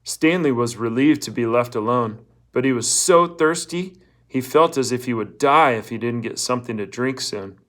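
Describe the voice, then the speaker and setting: A man's voice: mid-range voice, normal speed, fairly bright tone. A man reading a novel aloud as a scripted story narrator.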